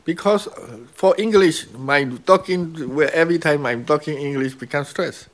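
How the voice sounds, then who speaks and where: A man's voice: a little high, normal speed. A man with a Burmese accent, a meditation teacher speaking simple, broken English and often checking with "right?". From Myanmar. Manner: broken English grammar, repeats key words, asks "right?". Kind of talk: teaching dialogue with students.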